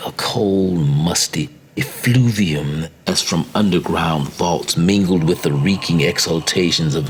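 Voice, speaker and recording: A man reading a macabre literary tale as dramatic narration, with theatrical, deliberate phrasing. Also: very deep voice. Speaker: story reader. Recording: faint background noise and ambience.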